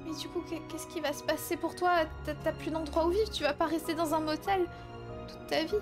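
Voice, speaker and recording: A woman's voice: very high-pitched, steady tone, brisk, over music. A woman playing a tender, eager twenty-seven-year-old daughter in improvised French game roleplay, speaking hesitantly. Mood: gentle, curious. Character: young actress daughter, 27, sincere, tender, eager.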